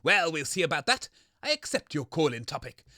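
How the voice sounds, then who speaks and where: Man as high-pitched, grumpy British radio host. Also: sing-song, fairly quick, sharp tone, dry recording, studio quality, exaggerated voice. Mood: defiant. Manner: indignant, stubborn, dryly sarcastic. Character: grumpy, unrepentant, self-righteous male radio co-host. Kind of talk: scripted comedy radio banter.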